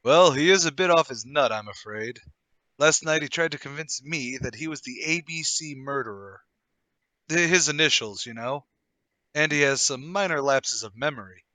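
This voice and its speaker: Man playing a brash brother-in-law gentleman, highish bright voice, theatrical old-chap manner, radio play, dry close sound. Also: normal speed, no echo. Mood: grave, urgent. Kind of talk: radio play table read.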